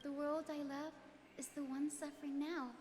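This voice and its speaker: An actress voices a high, girlish game healer, gentle yet resolute. She speaks formally and with measured care. The sound has long reverb and clear noise over it. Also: level tone, natural voice. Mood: tender, bittersweet. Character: gentle, composed young female healer, selfless and resolute. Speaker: game character voice actor. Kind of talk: scripted game dialogue.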